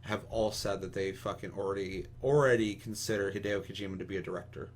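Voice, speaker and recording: A man's voice: on the low side, fast. A man co-hosting a casual opinionated discussion, speaking vehemently and swearing heavily. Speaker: podcast co-host. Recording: audible steady hum and noise.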